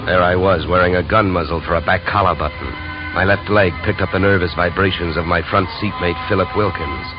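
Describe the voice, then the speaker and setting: Man acting a deep-voiced hardboiled radio detective. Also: fairly even intonation, brisk, music in the background, close to an everyday voice. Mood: wry, assured. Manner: clipped, hardboiled, wry. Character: cool, wisecracking tough male private detective. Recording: noisy, muffled old radio recording.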